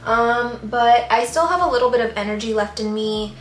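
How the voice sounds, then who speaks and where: A woman vlogging casually about her plants, rambling with fillers while her voice is going hoarse. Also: on the high side, moderate pace, echoey room, faint music behind. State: losing her voice. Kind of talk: casual vlog chat.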